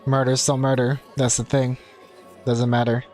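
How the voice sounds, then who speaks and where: A man's voice: mid-range voice, flat delivery, moderate pace, darkish tone, faint music behind. Casual male game streamer. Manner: colloquial, exclamatory. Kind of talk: casual live reaction commentary.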